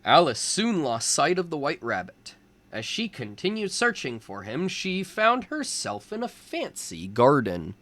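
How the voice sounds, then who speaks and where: Male storybook reader, tutor for young kids, unhurried pace, very varied pitch, expressive delivery. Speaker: tutor reading a storybook aloud to young children. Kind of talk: children's storybook read-aloud.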